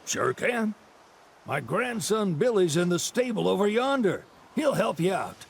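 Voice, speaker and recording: An actor playing a folksy old rancher grandfather in a video game, with a gravelly Southern American accent and showy salesman patter. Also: high-pitched, sing-song, a little slow, a little hiss or hum, heavily acted. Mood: showy sales pitch. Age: older. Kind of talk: video game cutscene acting.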